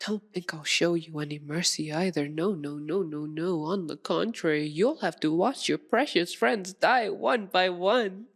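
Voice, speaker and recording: Slow, low-voiced sadistic vampire lady. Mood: cold threat. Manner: slow, teasing, purring drawl with breathy whispers and mocking endearments. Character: sadistic, possessive female vampire lord, seductive and menacing. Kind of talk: ASMR roleplay monologue, theatrical.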